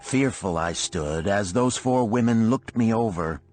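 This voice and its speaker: A man's voice: on the low side, measured pace, darkish tone, soft background music. A man reading a scripted first-person story as an audiobook narrator, in a formal, dramatic manner.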